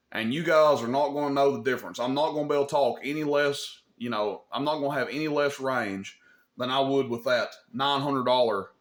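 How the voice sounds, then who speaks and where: A man with a mid-pitched voice talks through technical hobby advice as a host. He is informal and plain-spoken, states his opinions firmly, and often pauses to check that listeners follow. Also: a bit bright. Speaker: host of a CB radio advice channel. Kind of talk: informal hobby tutorial talk.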